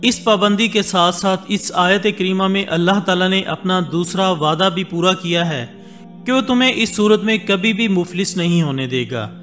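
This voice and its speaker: High-voiced man narrating a documentary. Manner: measured, formal Urdu delivery with Arabic religious phrases. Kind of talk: scripted voice-over narration. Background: soft background music.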